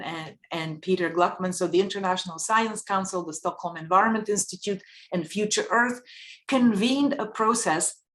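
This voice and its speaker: A woman from Bulgaria giving earnest, measured panel remarks and posing rhetorical questions. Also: lowish voice, fairly bright tone.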